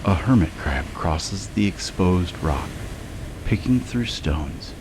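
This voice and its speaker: A man narrating a scripted guided meditation in a measured way, with long pauses between short phrases. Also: sits low, animated, background noise.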